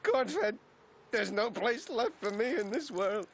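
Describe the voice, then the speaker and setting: A man's voice: high voice, lively intonation, slow, creaky, exaggerated voice. A man voicing a whining, explosive cartoon duck, a detective and father given to constant griping. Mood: despairing. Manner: whining, belly-aching, explosive complaining. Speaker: private detective. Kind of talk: animated comedy acting.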